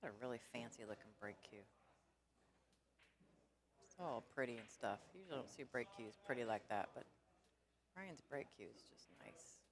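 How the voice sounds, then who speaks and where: A woman with a low alto voice commentating on a pool match in a casual, rambling way that laughs easily. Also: moderate pace, long pauses. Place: pool hall.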